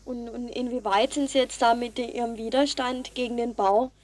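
A woman's voice: on the high side, steady tone, normal speed, a little mellow. A woman interviewing a guest in an informal, conversational way for radio.

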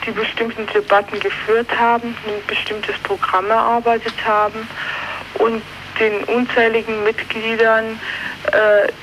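Man, high voice, deliberate halting phrasing, political radio interview, noisy 1980s recording. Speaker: interviewed political party member.

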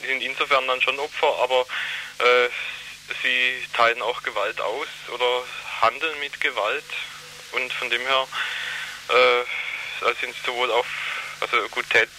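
A man's voice: mid-range voice, pushed and bright, slight background noise, low-quality recording. A man answering radio interview questions as a youth worker, hesitant with many fillers and restarts.

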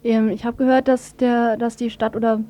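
A woman's voice: fairly high pitch, little pitch movement, moderate pace, dark tone. A woman working as a local radio reporter, interviewing and summarising in an informal, unpolished way. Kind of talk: semi-scripted local radio news report.

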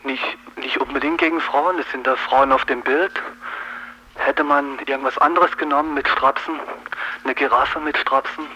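A man's voice: mid-range voice, steady tone, moderate pace, sharp tone, slightly rough. A man speaking casually and spontaneously as a caller in a radio phone discussion, often tagging on a short affirmation. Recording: noisy phone call on 1980s radio.

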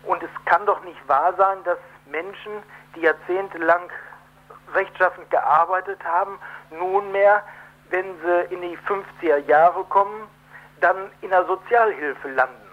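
Over a dull phone connection, a man gives a live radio interview. His voice sits fairly high, and he speaks emphatically but haltingly, often rephrasing as he goes. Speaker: protest co-organiser, interview guest. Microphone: telephone. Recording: muffled telephone line on radio.

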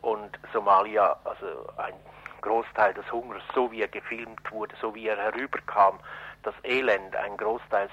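A man speaking spontaneously as an interviewed commentator, explaining politics in a halting, emphatic way as he searches for words. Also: fairly low pitch, breathy edge. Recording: dull lo-fi radio recording.

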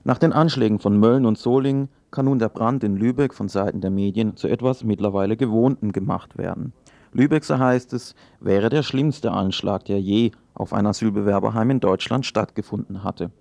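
A man delivers sober radio commentary in a low voice with noticeable creak. The delivery is formal and critical, and the recording is dry and close. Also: normal speed, mellow, dry recording. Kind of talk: scripted political commentary.

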